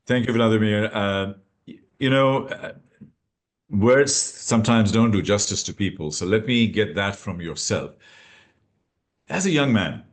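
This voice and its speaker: Male podcast host, lowish voice, rambling questions, fillers. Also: animated, a little slow, pauses often. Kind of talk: podcast interview.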